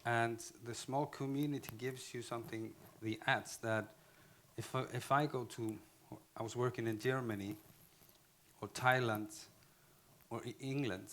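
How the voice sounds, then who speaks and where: A man's voice: medium pitch, steady tone, a bit bright. Icelandic guy speaking at a conference, keeps restarting phrases, some faint noise. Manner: halting, restarts phrases, self-corrects. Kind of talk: conference talk.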